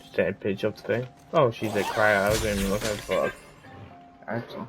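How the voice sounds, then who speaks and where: A man giving casual, unscripted game commentary, halting and rambling as he goes. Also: on the low side, fairly even intonation. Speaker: gamer recording gameplay commentary. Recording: game music behind the voice.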